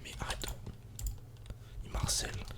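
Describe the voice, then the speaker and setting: A man whispers a calm, casual ASMR game commentary in a breathy, unvoiced murmur, close to the microphone. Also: unhurried. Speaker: ASMR gaming video creator.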